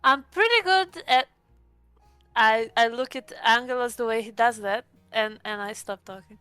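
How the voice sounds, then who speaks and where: A woman's voice: fairly high pitch, normal speed, sharp tone, clear, resonant tone, no echo, faint music behind. A woman playing in a tabletop roleplaying game, talking casually and a little hesitantly.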